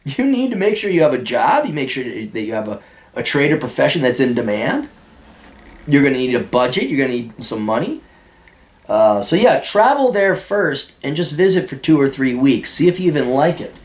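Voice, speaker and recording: A man hosting a podcast, answering listener questions in a blunt, sarcastic way and mocking some of them in high put-on voices. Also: big pitch swings, moderate pace, roomy sound. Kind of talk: blunt casual monologue reading out and answering listener mail.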